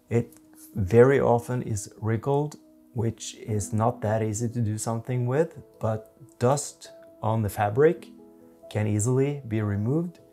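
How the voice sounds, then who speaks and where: Man, Norwegian accent, 40s, low voice, slow and halting, software tutorial, faint music behind. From Norway. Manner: slow, methodical, halting explanations. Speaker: photography instructor.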